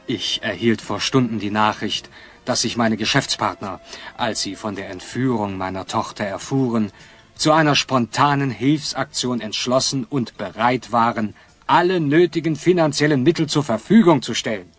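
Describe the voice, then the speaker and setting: A man's voice: mid-range voice, moderate pace, lightly acted. An actor playing a dignified, contrite middle-aged magnate and father in an audio drama, speaking formally and grandly. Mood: contrite, solemn. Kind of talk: German audio drama acting.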